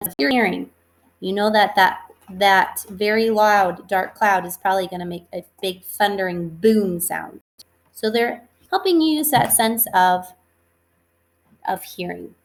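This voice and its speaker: A woman giving a read-aloud lesson to young children as their teacher, instructive, stressing key words and posing questions that she answers herself. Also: mid-range voice. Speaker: elementary school teacher.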